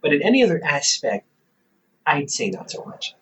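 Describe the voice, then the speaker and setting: A man playing a teasing, reassuring older brother, a scholar in his thirties, in an intimate, casual audio roleplay with halting, conversational delivery. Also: a little high, huge pitch swings, fairly bright tone, rough recording. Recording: hobby microphone with faint background noise.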